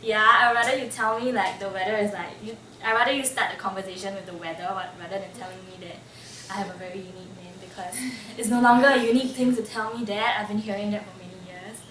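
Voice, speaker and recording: A woman's voice: medium pitch, steady tone, fast talker, fairly bright tone. Chatty woman in her twenties. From Singapore. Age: 20s. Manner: chatty, lots of "like" fillers. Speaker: interviewee. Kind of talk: casual personal storytelling. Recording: roomy room, mic at a distance, faint ambience.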